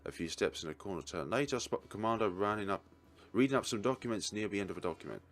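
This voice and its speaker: Deep-voiced man narrating a visual novel. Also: animated, very fast. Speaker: let's player reading aloud. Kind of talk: dramatic read-aloud narration of a visual novel.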